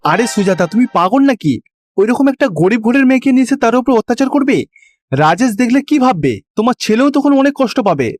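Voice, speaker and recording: A man's voice: very high-pitched, very animated, fairly quick, darkish tone, dry recording, clean studio sound, soft background music, pitched above the performer's own voice, heavily acted. A man voicing a shrewd, admonishing older village father-in-law in a cartoon. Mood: reproachful. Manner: admonishing, reasoning.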